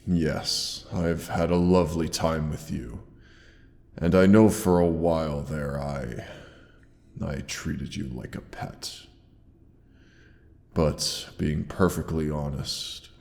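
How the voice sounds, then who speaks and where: A man playing a huge male dragon in a roleplay audio drama, grandiose yet tender and protective, with gravelly, theatrical, old-fashioned formal speech. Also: darkish tone, full character voice. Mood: fond, sad. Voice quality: very deep, gravelly creaking voice. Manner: slow, theatrical, archaic formal phrasing with pauses. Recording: long cavernous reverb.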